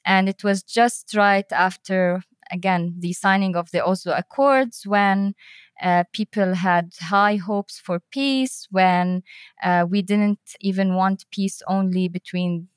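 Slow-paced, halting woman being interviewed. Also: medium pitch, fairly even intonation, clear, resonant tone, no echo. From Middle East and North Africa. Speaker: interview guest, environmental peace-building director. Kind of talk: reflective personal interview.

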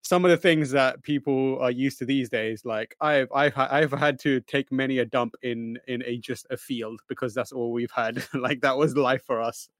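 A man's voice: mid-range voice, fairly even intonation, normal speed, hardly any pauses, dry recording. A man born in Pakistan and raised in East London, with an East London accent, guest-hosting a casual chat in a rambling, laughing way. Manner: chatty, rambling, laughs often. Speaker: guest host.

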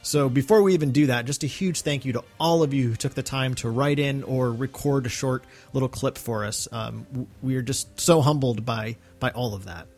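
A man's voice: medium pitch, normal speed, hardly any pauses, darkish tone, soft background music. A man co-hosting a podcast in casual chat, earnest and chatty with many fillers. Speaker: podcast co-host.

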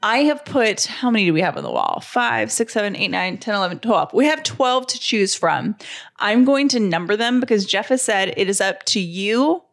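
A woman's voice: medium pitch, sing-song, normal speed, gravelly. A woman chatting casually as a podcast co-host, talkative and full of questions. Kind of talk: casual chat.

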